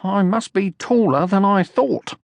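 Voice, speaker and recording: A man voicing a small, timid yet boastful young male creature in a children's storybook, whiny, excitable and self-important. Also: high voice, measured pace, gravelly, dry recording, voice pushed up. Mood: excited, boastful. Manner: excitable, screechy, self-important muttering and squealing.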